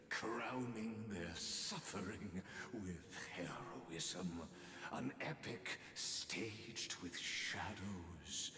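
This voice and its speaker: Very slow, deep, grandiose mastermind. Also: male voice, heavily acted. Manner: slow, theatrical, declamatory, breaking into hushed tones. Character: grandiose, menacing male theatrical mastermind philosopher. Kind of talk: dramatic video game voice acting. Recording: echoey, long reverberant hall.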